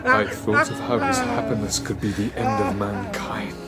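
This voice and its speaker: An actor playing a guilt-ridden young scientist in a dramatised audiobook, grave and literary in manner. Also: male voice, lowish voice, huge pitch swings, moderate pace. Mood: grim, haunted. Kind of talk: dramatised audiobook acting and first-person narration. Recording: loud music behind the voice.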